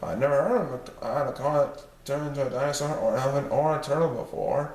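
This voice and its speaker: A man's voice: mid-range voice, fast talker, a little mellow, lightly acted. A man voicing a polite, cautious and slightly puzzled car salesman in a children's storybook. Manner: courteous. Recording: roomy, distant mic, faint noise.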